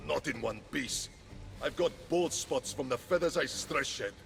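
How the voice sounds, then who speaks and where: An actor playing a vain, touchy, blustering god of war in a video game, bombastic and comically dramatic. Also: male voice, mid-range voice, a little slow, creaky, a little hiss or hum. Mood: defensive, sheepish. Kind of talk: video game cutscene acting.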